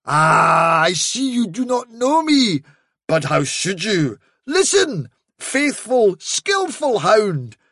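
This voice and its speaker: A wily storybook trickster posing as a noble fairy messenger dog. The voice climbs high and swoops grandly, stretching words slowly. Every phrase is lofty, theatrical flattery. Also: male voice, no room sound, studio quality, exaggerated voice. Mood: grand, coaxing. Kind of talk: dramatic storybook reading.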